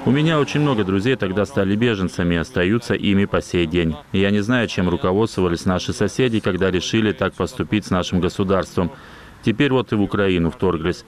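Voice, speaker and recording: A man reading a translated news voice-over in a measured, even way. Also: sits low.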